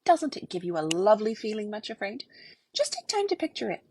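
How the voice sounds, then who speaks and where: A woman's voice: medium pitch, very animated, fairly quick, darkish tone, a little hiss or hum, natural voice. A woman voicing a menacing male villain in a storybook reading, taunting and mocking.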